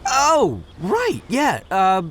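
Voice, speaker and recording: Cocky young oni gang leader, highish voice, very lively swings, goofy slangy boasting, video game dialogue. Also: male voice, extremely slow, heavily acted. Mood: sheepish, breezy. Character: brash, cocky, good-hearted young oni gang boss.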